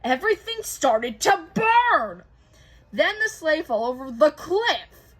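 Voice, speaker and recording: High-voiced, animated woman reading aloud. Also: slow. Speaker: co-host reading a picture book aloud. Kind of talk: expressive children's story read-aloud.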